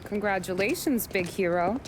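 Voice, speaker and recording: A woman's voice: mid-range voice, lively intonation, normal speed, noisy background, natural voice. An actress playing a sassy, flirty American woman who teases as she talks, in a video game. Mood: teasing. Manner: teasing. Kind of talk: video game cutscene acting.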